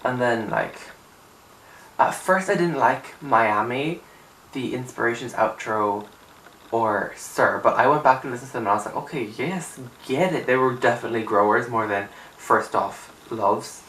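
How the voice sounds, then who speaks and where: A young man speaks with a raspy, just-out-of-bed voice, rambling and emphatic as he gives his opinions. He sits back from the camera in a small room, so the sound is roomy and a little distant. A faint hiss runs underneath. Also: mid-range voice, normal speed, fairly bright tone. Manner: chatty, rambling, emphatic with filler "like". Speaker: music vlogger. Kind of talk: casual opinion chat to camera. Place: small untidy room indoors.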